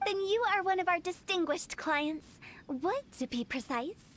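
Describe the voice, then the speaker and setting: A woman's voice: very high-pitched, expressive, a little slow, a bit bright, a little hiss or hum, full character voice. An actress voicing a playful, mischievous young woman who runs a funeral parlor, teasing and darkly cheerful in a video game.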